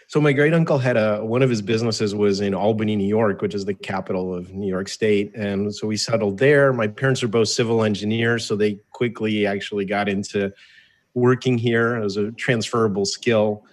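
An American man in his fifties speaks in a fairly low voice. He tells autobiographical stories in a casual, conversational way. Dry, joking asides colour his delivery as an interview guest. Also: steady tone, a little fast. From born in Soviet Russia, grew up in the United States from age eight. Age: 50s. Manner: conversational, wry, jokey asides. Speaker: interview guest, entrepreneur and author.

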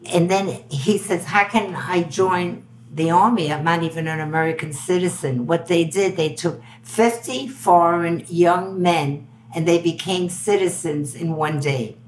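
A woman's voice: fairly low pitch, a little slow, hardly any pauses, slight background noise, low-quality recording. An older woman from Belgium with a New York accent, a guest telling a story in an interview and acting out the dialogue she quotes.

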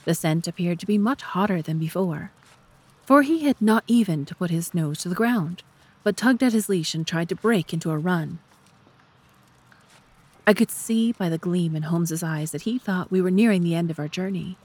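Low-pitched woman narrator. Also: moderate pace, darkish tone, husky. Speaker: narrator and podcast host. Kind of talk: scripted story narration.

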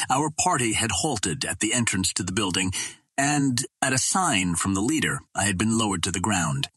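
A man narrates a classic adventure audiobook in a fairly deep voice with a noticeably husky grain. His delivery is formal and measured, like careful storytelling from the page. The sound is dry, with very little room around it. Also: a bit bright, no room sound.

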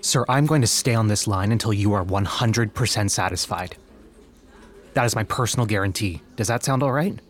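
An actor playing an earnest, reassuring, professional customer service agent in an audio comedy drama. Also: male voice, on the low side, normal speed, close to an everyday voice.

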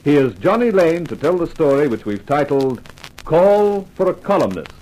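Animated male vintage radio announcer. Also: on the high side, normal speed, noisy background. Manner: polished, formal, showman-like. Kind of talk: 1950s radio programme introduction and interview.

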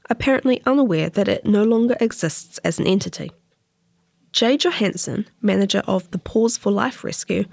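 New Zealand woman narrator with creaky voice. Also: medium pitch, darkish tone, no room sound. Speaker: narrator and reader of longform journalism. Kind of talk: scripted narration.

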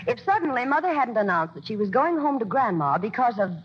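A woman's voice: fairly high pitch, big pitch swings, quick pace, a little hiss or hum. An actress narrating as a grown daughter fondly recalling her parents in a 1940s radio play, with wry storytelling, on a dull old broadcast.

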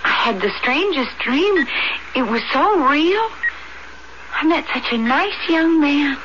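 A woman's voice: high-pitched, expressive, slow pace. An actress playing a dazed, wistful and trusting young woman in hospital, in an old-fashioned radio drama. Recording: dull, very noisy radio broadcast tape.

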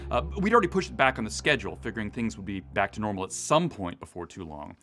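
Expressive male podcast co-host, explaining. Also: lowish voice, fast, soft background music. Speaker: audio drama director, co-host. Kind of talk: semi-scripted podcast conversation.

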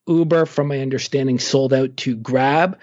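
Relaxed man giving travel tips. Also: medium pitch, normal speed, highs cut off. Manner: relaxed and informative, with frequent fillers. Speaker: travel guest expert. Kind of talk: casual travel advice conversation.

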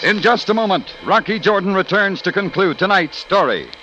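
A man's voice: high-pitched, expressive, normal speed, loud music behind the voice. A man with an American accent announcing in upbeat, polished 1940s radio commercial patter. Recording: dry, dull old radio recording.

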